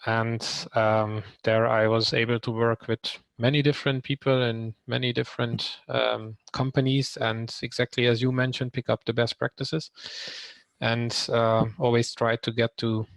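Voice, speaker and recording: A man in his thirties from Hungary speaks on a video call with a gravelly edge to his voice. He talks with many ums and short pauses, and the sound is dry and close. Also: mid-range voice, flat delivery, no echo, poor audio. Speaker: trainee introducing himself. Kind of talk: casual self-introduction on a video call.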